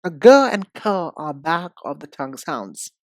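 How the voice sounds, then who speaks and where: A woman giving an instructional pronunciation lesson, speaking deliberately and enunciating with emphasis and pauses. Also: low voice, big pitch swings, no echo, clean studio sound. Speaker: English pronunciation teacher. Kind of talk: instructional lesson, demonstrating sounds.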